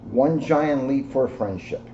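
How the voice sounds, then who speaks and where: A middle-aged American man giving an informal educational talk in a deliberate, emphatic way, phrase by phrase. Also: medium pitch, warm tone. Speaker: police officer reading to children. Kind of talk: informal educational talk and picture-book reading. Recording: muffled audio with clear background noise.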